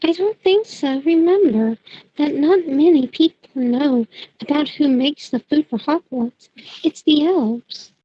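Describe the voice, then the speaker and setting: Woman playing a high-voiced sweet teenage witch, dull mic. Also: measured pace, slight background noise. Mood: helpful. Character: kind, sweet, principled teenage witch girl. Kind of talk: fan audio drama acting.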